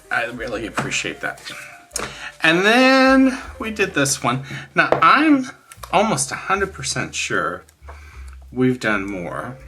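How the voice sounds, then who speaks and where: Bright-voiced man in his sixties, chatting candidly. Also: fairly low pitch, a little hiss or hum. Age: 60s. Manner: rambling, candid, emphatic about likes and dislikes. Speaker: craft channel host. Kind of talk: casual chat showing finished coloring pages.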